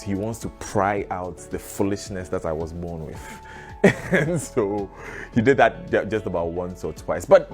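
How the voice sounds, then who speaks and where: A man telling personal stories in an interview, reflective and often breaking into laughter. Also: deep voice. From Ghana.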